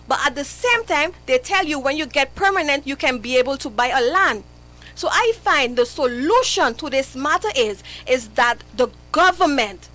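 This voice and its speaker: High, bright-voiced woman. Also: low-quality recording. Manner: earnest, pleading, addresses host by name. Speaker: interview guest. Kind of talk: live radio interview.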